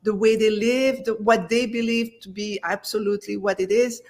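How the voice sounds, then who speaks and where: A woman's voice: mid-range voice, fairly even intonation, normal speed, darkish tone. A middle-aged woman from Belgium, a native French speaker with a French-speaking Belgian accent, telling her story as an interview guest, full of hesitations and restarts. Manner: hesitant, many fillers and restarts. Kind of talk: casual conversational interview.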